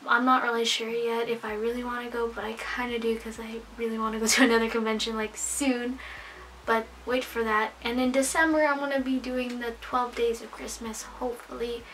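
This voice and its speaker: A woman's voice: a little high, a little hiss or hum, poor audio. Chatty young woman with a worn voice. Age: young adult. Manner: chatty, bubbly, animated hand gestures. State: losing her voice. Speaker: vlogger. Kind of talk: casual chat to camera. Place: small bedroom full of anime figures, indoors.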